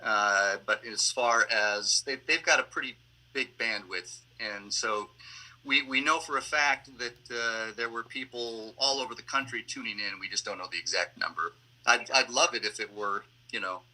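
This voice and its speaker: A man with a very bright, sharp voice talks as a panel guest. His pitch is average, and his answers are casual and come out piece by piece with hesitation. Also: normal speed, rough recording. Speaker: panel guest, theatre radio play producer. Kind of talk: casual discussion, answering questions.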